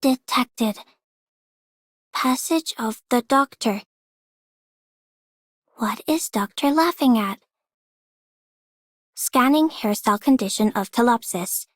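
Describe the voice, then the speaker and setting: A woman voicing a small, clinical girl-like machine in a game, speaking in clipped, deadpan robotic phrases. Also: a little high, no echo, studio quality, full character voice. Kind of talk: game character voice line.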